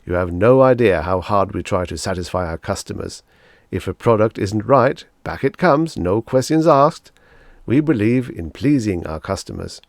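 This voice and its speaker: A man voicing a tall, imposing, jovial finance agent with effusive, brisk sales patter in an audiobook story. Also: fairly low pitch, animated, creaky, dry recording, studio quality. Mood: proud, salesy. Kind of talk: audiobook character dialogue.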